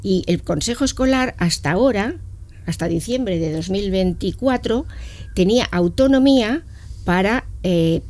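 A woman's voice: lowish voice, dark tone. A woman speaking for a community association in a radio studio interview, explaining earnestly with frequent hesitations. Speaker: association representative.

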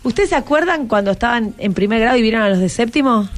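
Argentine woman, radio host, fairly high voice, chatty and encouraging, question-heavy, light music underneath. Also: expressive, fast. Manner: encouraging, asks many questions. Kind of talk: chatty radio hosting with schoolchildren. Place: radio studio.

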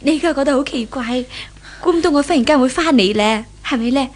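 High-voiced, repentant lady. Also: quick pace. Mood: gentle reassuring. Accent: Hong Kong Cantonese. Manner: earnest, theatrical. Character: repentant married lady of a well-off household. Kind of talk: 1970s Cantonese radio drama acting.

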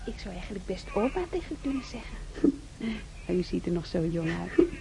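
A woman's voice: on the low side, very animated, a little fast, vocal fry, warm tone, soft background music. An actress playing an affectionate nurse in a radio drama, playful and sweet-talking with her patient. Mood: fond, teasing.

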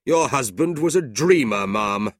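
A man's voice: mid-range voice, lively intonation, no room sound, clean studio sound, pitched above the performer's own voice. A man voicing a cold, scornful older businessman uncle with a curt, sneering delivery in a storybook reading. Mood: contemptuous. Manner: curt, sneering, drawn-out emphatic words.

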